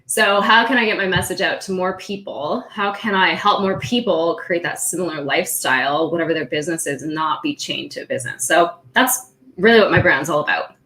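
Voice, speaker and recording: A woman's voice: fairly low pitch, a little fast, pushed and bright, poor audio. A middle-aged woman, born in Austria and raised in California, telling her story as a podcast guest in an upbeat, chatty way with frequent laughs. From born in Austria, grew up in California. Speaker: podcast guest, social media marketer. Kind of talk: casual interview talk.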